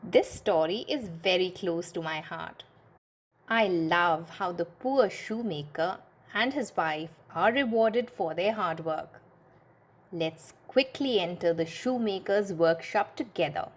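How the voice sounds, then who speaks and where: A woman narrating a children's storybook with expressive, deliberate phrasing, as a storyteller to young listeners. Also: on the low side.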